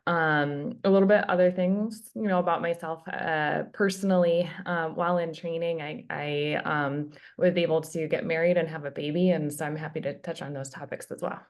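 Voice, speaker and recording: A woman in her thirties from Minnesota talks through her training as a guest. Her voice sits low, and she fills pauses with frequent ums. She sounds earnest and a little unhurried. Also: fairly even intonation. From Minnesota, USA. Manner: many filler ums, earnest. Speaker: hematology fellow, guest. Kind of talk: casual interview answers.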